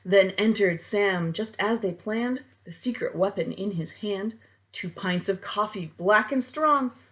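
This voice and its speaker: A woman reading a rhyming picture book aloud to children in a theatrical, deliberate way, as a library storytime reader. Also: medium pitch, fairly bright tone, rough recording.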